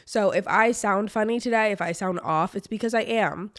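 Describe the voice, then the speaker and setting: Hoarse young woman podcast host. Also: medium pitch, normal speed, fairly bright tone, no echo, studio quality. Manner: chatty, lots of "like" and "um". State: lost voice, hoarse from a cold. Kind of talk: casual solo podcast chat.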